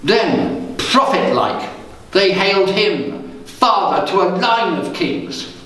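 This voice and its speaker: A man performs as a guilty, scheming king in a Shakespearean tragedy on stage. His voice sits high and he declaims slowly, with bitter, brooding weight. The sound is distant, swimming in heavy room echo. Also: sing-song, a bit bright, exaggerated voice. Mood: bitter, anguished. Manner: slow, declamatory, theatrical. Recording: echoey room, distant microphone.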